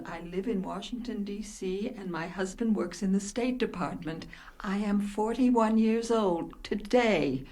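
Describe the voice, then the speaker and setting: Woman playing bewildered wife in her forties, very slow delivery, medium pitch, hesitant, questioning, radio drama. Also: darkish tone, close to an everyday voice. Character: bewildered forty-one-year-old married woman, searching, unsure of herself. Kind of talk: radio play acting.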